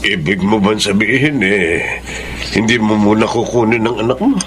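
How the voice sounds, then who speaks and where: An actor playing a gentle, middle-aged Filipino husband and father in a radio drama, fond and expressive in manner. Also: fairly low pitch, normal speed, background noise, natural voice. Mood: surprised, polite.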